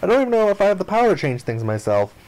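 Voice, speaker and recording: A man's voice: sits high, big pitch swings, rapid-fire, darkish tone, voice pushed up, rough recording. A man voicing an indignant, stubborn young amnesiac protagonist from a visual novel, in an exclamatory, protesting manner. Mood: frustrated, questioning.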